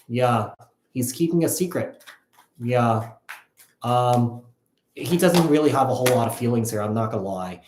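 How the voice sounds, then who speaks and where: A man giving a casual live tarot reading, thinking aloud in a halting, repetitive way. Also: mid-range voice, expressive, darkish tone, a little hiss or hum. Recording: echoey, roomy sound.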